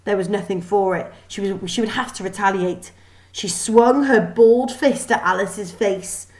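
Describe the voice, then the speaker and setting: Female storybook reader, medium pitch, narrating children's fiction with expression. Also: measured pace, a little mellow, low-quality recording.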